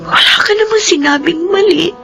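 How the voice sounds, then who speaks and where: An actress playing a remorseful adult daughter in a radio drama, pleading haltingly and emotionally. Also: female voice, very high voice, creaky. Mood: remorseful, tearful. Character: remorseful adult daughter, self-blaming, tender toward sick mother. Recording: studio voice over prominent music.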